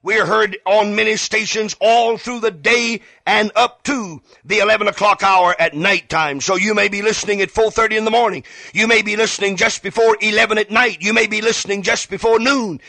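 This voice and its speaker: Casting: male gospel radio preacher, high and bright voice, urgent emphatic delivery, repeats key phrases, direct address. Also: steady tone, brisk, few pauses, dry recording. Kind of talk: fervent evangelistic radio sermon.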